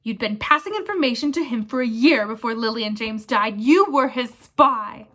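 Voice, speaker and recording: Lady reading as a fierce gaunt ex-prisoner guy, harsh and croaky, intense, bitter, storybook character. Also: on the high side, animated, normal speed. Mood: furious. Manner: intense, forceful.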